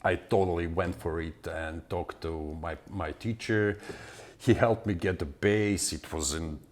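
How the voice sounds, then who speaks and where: Very deep-voiced Serbian-accented man. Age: 40s. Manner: halting, many fillers and restarts. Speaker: guest musician. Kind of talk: interview answers.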